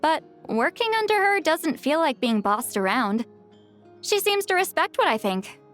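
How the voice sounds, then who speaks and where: Flustered, proud ex-commander. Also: female voice, high-pitched, big pitch swings, fairly bright tone. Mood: wry. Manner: guarded, quick to fluster, wry. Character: proud woman, former commander, defensive and easily flustered. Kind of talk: video game voice acting. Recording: game audio over faint music.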